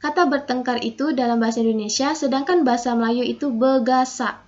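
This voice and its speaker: A young woman student reading a prepared linguistics presentation in a steady, methodical way, saying example sentences aloud and then breaking them down. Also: sits high, quick pace. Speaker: student presenting a final assignment. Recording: dull lo-fi recording.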